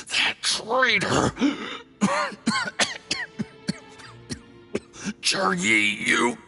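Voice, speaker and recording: An actor playing an arrogant, poisoned envoy in a video game, betrayed and speaking in a halting, laboured way. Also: male voice, fairly high pitch, wildly swooping pitch, pushed and bright, exaggerated voice. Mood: betrayed, failing. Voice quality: heavily gravelly, creaky. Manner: halting, laboured, indignant delivery. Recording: music behind the voice.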